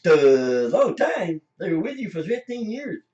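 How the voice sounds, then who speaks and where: A man's voice: high voice, big pitch swings, measured pace, darkish tone. A man playing a blunt, impatient spaceship companion in an amateur comic radio play. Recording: dull, roomy, distant mic.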